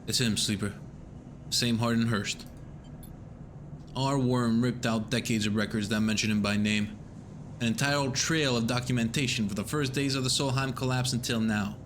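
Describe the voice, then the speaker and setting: An intense, bitter male station worker, read out by a man whose own voice is going hoarse. He speaks with grim urgency at a middle pitch. Steady noise sits behind the voice. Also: moderate pace, natural voice. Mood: urgent.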